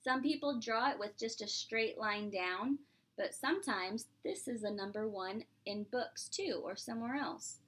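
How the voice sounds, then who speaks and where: A woman preschool teacher reading aloud to young children, expressive and playful, explaining simply and asking questions as she goes. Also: a little high. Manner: expressive, drawn-out, playful exclamations.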